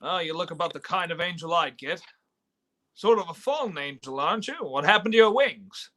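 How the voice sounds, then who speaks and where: Radio play lead: male actor as a despairing folksy everyman, high and bright, halting and stammering. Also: lively intonation. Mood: wry. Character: despairing, stammering everyman wishing he was never born. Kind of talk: old-time radio play live reading.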